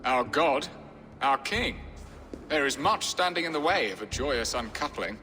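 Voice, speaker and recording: A man's voice: mid-range voice, animated, measured pace, pushed and bright. An actor playing a wry, middle-aged nobleman in a video game, with a theatrical, sardonic, flowery way of speaking. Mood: wistful, wry. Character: wry, florid middle-aged English nobleman, weary husband. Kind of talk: video game cutscene acting. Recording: long hall reverb, music behind.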